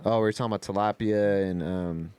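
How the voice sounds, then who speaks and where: A man with a low, smooth voice talks casually as on a podcast. His delivery is loose and rambling, peppered with slang. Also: fairly even intonation, brisk, darkish tone. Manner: rambling, slangy, frequent "you know" and "man". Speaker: podcast co-host.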